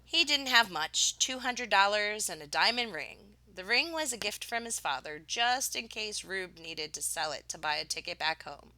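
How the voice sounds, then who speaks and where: A woman reading a picture book aloud like a teacher, expressively, with dramatic pauses and emphasis on key words. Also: mid-range voice, expressive, pushed and bright, poor audio.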